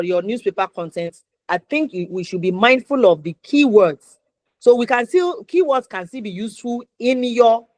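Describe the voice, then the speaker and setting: A woman's voice: medium pitch, dry recording. A woman with a Nigerian accent hosting a conversational interview, talking at length and circling back to rephrase her points. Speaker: interview host.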